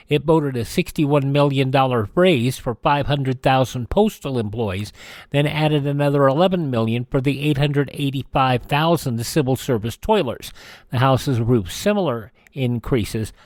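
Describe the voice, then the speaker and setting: An American man hosting a radio show, reading news items in a measured way with occasional stumbles and self-corrections. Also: mid-range voice, a little mellow, no room sound, clean studio sound.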